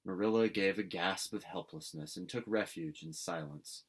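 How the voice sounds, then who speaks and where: A man's voice: sits low, moderate pace. Man narrating a classic storybook. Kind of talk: scripted read-aloud narration.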